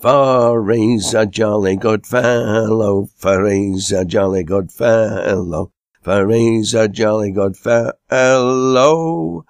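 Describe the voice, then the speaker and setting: A man voicing a boisterous, hearty working man with a Lancashire accent, loud and exclamatory, in a storybook reading. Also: lowish voice, unhurried, gravelly, no echo, studio quality, pitched above the performer's own voice. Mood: rowdy cheering. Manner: loud, exclamatory cheering and urging.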